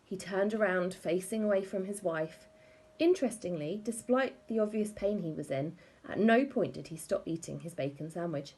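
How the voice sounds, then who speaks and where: A woman reading a children's story aloud in a wry, expressive way. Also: fairly low pitch, normal speed, slight background noise, low-quality recording. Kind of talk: animated storybook read-aloud.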